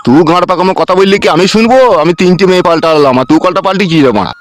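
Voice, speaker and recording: A man voicing a crude, henpecked village uncle in a comedy cartoon, gruff and blunt, with a rural West Bengal accent. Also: high voice, lively intonation, fast, slight background noise. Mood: blunt, scornful.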